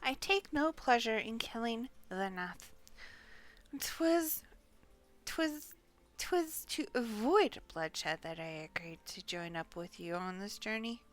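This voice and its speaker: A woman's voice: on the high side, expressive, takes it slowly. A woman voicing a grave, resolute noble lady warrior from a fantasy game, with formal, archaic and stately diction. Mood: solemn, commanding. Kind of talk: fantasy game dialogue read aloud.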